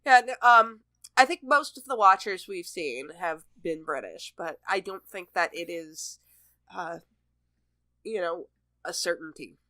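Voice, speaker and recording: A woman's voice: fairly low pitch, lively intonation, a little slow, bright, forceful tone, no echo. A woman co-hosting a podcast, talking casually about a television show. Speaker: podcast co-host.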